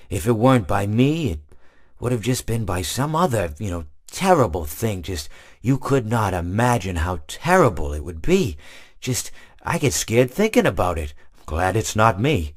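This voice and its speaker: A rambling old hippo. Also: male voice, lowish voice. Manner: meandering, wistful rambling with stammered restarts. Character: rambling old male hippopotamus animatronic, gentle and absent-minded. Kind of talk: video game voice lines.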